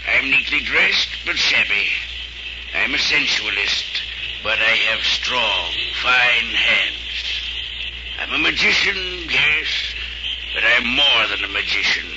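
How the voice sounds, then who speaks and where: An actor playing a pompous, haughty, fat silver-haired magician in a formal 1940s radio drama style. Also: male voice, mid-range voice, lively intonation, slow. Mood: grandly self-important. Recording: noisy, dull old radio recording.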